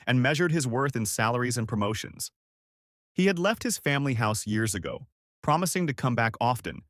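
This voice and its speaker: A man's voice: medium pitch, dry recording, studio quality. A man narrating a scripted story as a storyteller.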